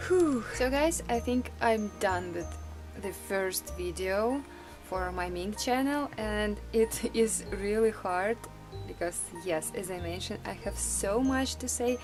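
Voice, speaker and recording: A young woman whose first language is Russian, chatting casually in a vlog, laughing often and pausing with fillers. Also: mid-range voice, animated, over music. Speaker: vlogger.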